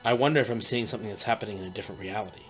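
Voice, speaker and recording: A man's voice: on the low side, rapid-fire, natural voice. A man voicing a young male protector who is a worried, hesitant brother, with faint music behind. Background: faint background music.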